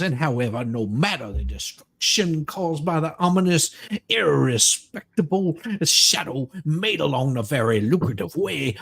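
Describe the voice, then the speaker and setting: A woman co-host giving a dramatic reading of wordy letters, sometimes halting over the phrasing. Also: sits low, vocal fry, slightly rough. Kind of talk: dramatic reading with brief asides.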